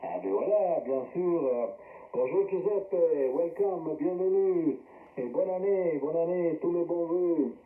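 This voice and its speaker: A man's voice: fairly high pitch, slow pace. A man hosting an amateur radio net, chatting casually and passing the turn between operators over a shortwave link. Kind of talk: casual radio contact chat. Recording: roomy narrowband shortwave radio transmission.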